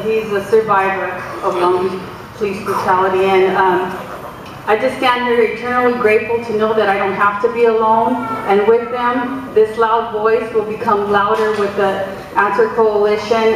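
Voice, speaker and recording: A young adult woman giving a halting, emotional speech to a gathering, with many pauses and restarts and a loud voice. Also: medium pitch. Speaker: guest speaker, community organizer. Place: large echoey hall. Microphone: far from the mic. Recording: echoey hall from far off, noisy.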